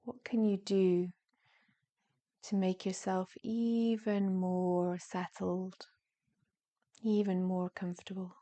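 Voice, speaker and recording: Female meditation guide, mid-pitched and very unhurried, soft and soothing, breathy phrase endings, relaxed warm tone. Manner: soothing, gentle, drawn-out phrases with long pauses. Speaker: yoga nidra meditation guide.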